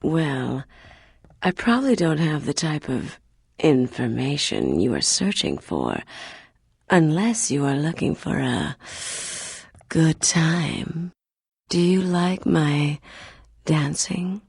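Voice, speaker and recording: Game role: sultry tavern dancer, deep breathy voice, slow vain teasing. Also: female voice, big pitch swings, darkish tone, no echo, studio quality, heavily acted. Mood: seductive. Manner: breathy, lingering seductive delivery. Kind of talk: video game character acting.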